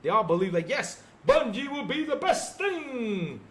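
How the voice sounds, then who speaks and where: A man's voice: very high-pitched, big pitch swings, measured pace, a bit bright. A middle-aged man hosting a podcast, ranting about gaming to a live chat in an emphatic, sarcastic way. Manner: emphatic, sarcastic, mimics fans mockingly. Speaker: podcast host.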